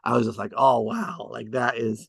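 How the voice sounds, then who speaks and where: A man's voice: medium pitch, normal speed, vocal fry, breathy edge, no echo, clean studio sound. A man hosting a table read, chatting casually and hesitantly about scriptwriting as he thinks aloud. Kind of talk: casual rambling discussion of screenwriting.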